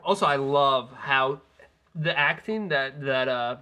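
A man's voice: medium pitch, slow pace, sharp tone. A man co-hosting a film podcast, chatting casually and analytically with firm opinions. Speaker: film podcast co-host and critic.

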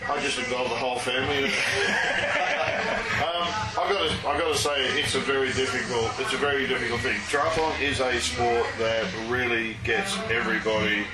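A man's voice: mid-range voice, steady tone, a little fast. A middle-aged man, a race organiser, chatting casually and laughing easily in an interview. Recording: echoey room, far mic, loud ambient noise.

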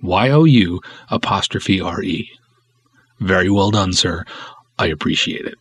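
Low, husky man, casually wry. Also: measured pace, a bit bright. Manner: wry, snarky sarcasm. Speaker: podcast host and filmmaker. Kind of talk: casual podcast talk, reading reviews aloud.

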